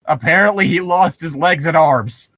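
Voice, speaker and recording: A man's voice: a little high, normal speed, fairly bright tone, no room sound. A man co-hosting with casual comedic commentary, joking and swearing freely. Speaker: co-host.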